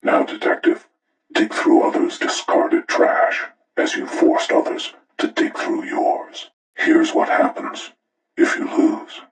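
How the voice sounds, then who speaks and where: An actor playing a cold, menacing older male serial killer who delivers deliberate, ominous, moralizing monologues in a breathy, gravelly rasp for a horror video game. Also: echoey room, natural voice, rough recording. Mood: grave, judging.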